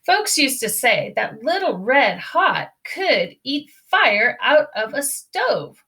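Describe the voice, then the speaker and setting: A woman reading a children's storybook aloud in an expressive, emphatic way. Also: high-pitched, slow pace. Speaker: storybook reader. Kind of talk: children's read-aloud narration.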